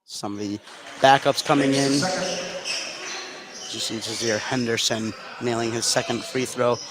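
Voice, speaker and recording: A man's voice: medium pitch, fairly even intonation, unhurried. A man calling live basketball play-by-play as the announcer in an indoor gym. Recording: clear crowd and gym ambience noise.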